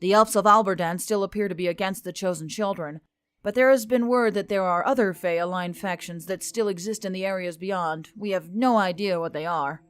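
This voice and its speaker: A woman playing a female military officer giving a matter-of-fact, measured briefing in an audio story. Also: mid-range voice, smooth, natural voice. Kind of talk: scripted audio story dialogue.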